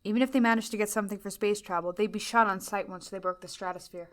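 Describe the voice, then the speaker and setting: A woman's voice: medium pitch, steady tone, fast talker, a bit bright, lightly acted. Bitter, distrustful young woman, played by a woman in an audio drama; grim and insistent. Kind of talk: fan audio drama acting.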